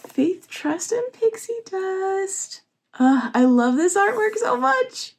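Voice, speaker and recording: A young woman vlogging casually at home, her voice a little hoarse and sore, excitable and laughing often. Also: high voice, a little hiss or hum. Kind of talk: casual vlog chat. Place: home, indoors.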